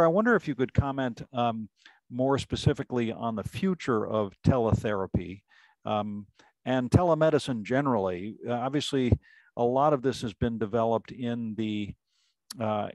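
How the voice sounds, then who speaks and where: Headset-mic moderator, dry and close. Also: male voice, medium pitch, dry recording, rough recording. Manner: warm-mannered, restarts, many fillers. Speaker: panel moderator. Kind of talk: moderating a virtual panel. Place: home room, indoors. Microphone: headset mic on video call.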